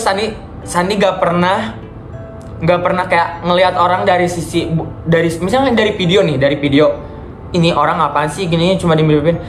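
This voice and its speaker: A chatty young man with a high voice hosts a casual video call and keeps talking to his viewers. He sounds like he is in an echoey room, with faint music playing underneath. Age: young adult. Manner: chatty, addresses viewers as guys, encouraging. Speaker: vlogger host.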